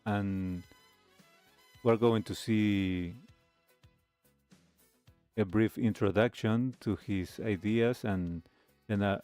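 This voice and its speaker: A man hosting a talk show, giving reflective commentary in halting, deliberate phrases. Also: lowish voice, warm tone.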